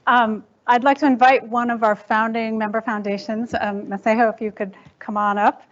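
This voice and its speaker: A woman's voice: fairly high pitch, level tone, moderate pace, lo-fi sound. A woman moderating a panel, politely introducing the next speaker with some hesitation. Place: conference hall.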